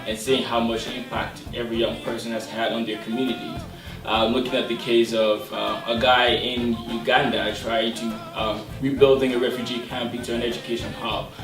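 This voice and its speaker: A young man from Liberia offering an earnest, hesitant opinion as a student speaker. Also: lowish voice. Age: young adult. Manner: hesitant, frequent ums. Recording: echoey room, distant mic, music behind.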